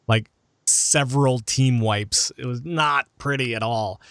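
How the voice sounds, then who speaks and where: A man's voice: mid-range voice, a little slow, vocal fry, a bit bright, dry recording, clean studio sound. A man hosting a gaming podcast in casual, conversational chat, steering the discussion with questions to the others. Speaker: podcast host.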